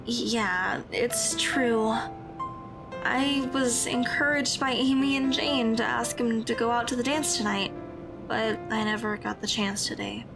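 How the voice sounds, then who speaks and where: A woman's voice: a little high, steady tone, a little slow, gravelly, over music. A woman playing an awkward, earnest teenage schoolgirl in an audio drama, speaking hesitantly with rather formal wording. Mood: embarrassed, confiding.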